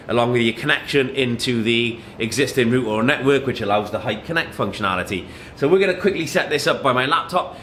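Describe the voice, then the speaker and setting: A man walks through a product step by step. He speaks at a medium pitch in an informal, matter-of-fact manner, explaining things plainly as a demonstrator would. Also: fairly bright tone, slight background noise.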